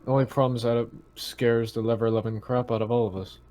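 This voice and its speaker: A man's voice: mid-range voice, flat delivery, fast talker, warm tone. A man chatting casually as a tabletop roleplaying player, thinking aloud in a tentative way. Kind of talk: casual game-table chat.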